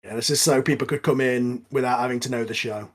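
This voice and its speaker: A man's voice: mid-range voice, fairly even intonation, quick pace. A man hosting an informal film commentary, explaining things casually with a hesitant, chatty delivery. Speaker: host and writer of an audio drama.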